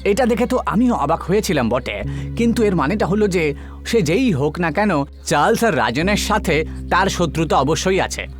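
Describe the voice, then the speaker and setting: A man's voice: high voice, expressive, moderate pace, soft background music. A man playing a timid, reluctant police constable sidekick in a cartoon horror story, deferential and given to complaining. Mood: concerned, deferential.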